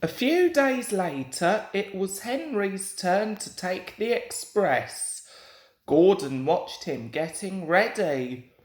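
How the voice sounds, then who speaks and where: A young man reads a children's storybook with plenty of expression. His delivery is unhurried and very varied in pitch. The room adds a noticeable echo to his voice. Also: animated. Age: young adult. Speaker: storybook reader. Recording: roomy home recording with echo.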